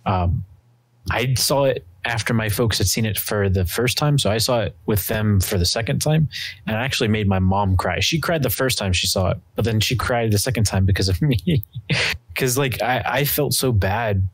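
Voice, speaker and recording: A man's voice: fairly low pitch, normal speed, no room sound. A young man chatting casually on a podcast, rambling with lots of "like" and "you know". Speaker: podcast co-host. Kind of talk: casual chat.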